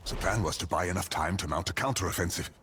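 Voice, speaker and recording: An actor playing a battle-hardened, dutiful military commander in a video game, speaking in a gravelly, grave, formal and commanding way. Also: male voice, sits low, fast talker, a bit bright, slight background noise. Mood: grave, resolute. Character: battle-hardened male army commander, stern, dutiful, urgent.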